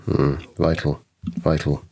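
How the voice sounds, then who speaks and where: A man playing a tabletop game, describing his character in a musing, drawn-out way. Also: extremely low pitch, little pitch movement, creaky, warm tone. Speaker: player. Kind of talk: in-character roleplay narration.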